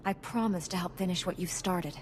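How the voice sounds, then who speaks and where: A woman's voice: lowish voice, a little fast, natural voice. An actress playing a composed, principled female knight in a science fiction video game, earnest, formal and firm. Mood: stern. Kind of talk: dramatic video game acting. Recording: game audio with faint noise.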